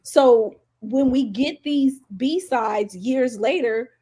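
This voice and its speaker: A woman's voice: high voice, drawn-out words, warm tone, husky. A woman co-hosting a podcast, talking casually and emphatically about music as an opinionated fan. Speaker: podcast co-host.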